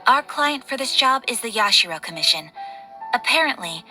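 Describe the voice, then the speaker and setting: A courteous guild receptionist in a video game. The voice is fairly high and the phrasing formal and businesslike, with clear background music under it. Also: female voice, sing-song, normal speed, pushed and bright, full character voice. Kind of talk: video game character acting.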